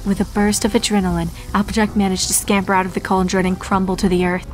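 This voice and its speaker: Woman, narrator, mid-pitched, dramatic horror storytelling, scripted reading, background music. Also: little pitch movement, quick pace.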